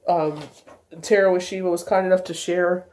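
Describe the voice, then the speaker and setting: A woman's voice: fairly low pitch, fairly even intonation, moderate pace, darkish tone. A congested woman giving a casual daily tarot reading, meandering with many fillers and self-corrections. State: congested, stuffy nose. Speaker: tarot reader.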